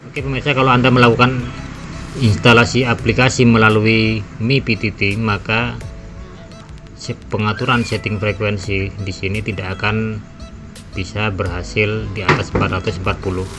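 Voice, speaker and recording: A man giving a measured, step-by-step tutorial voice-over as a gadget reviewer. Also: lowish voice, flat delivery. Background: background music.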